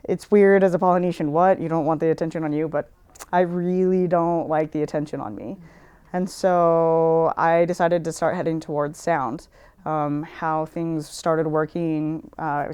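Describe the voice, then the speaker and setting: A young woman who works as a sound technician in theater, answering interview questions in a candid, halting way. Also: deep voice, fairly even intonation, darkish tone, clear, resonant tone. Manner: candid, hesitant with fillers.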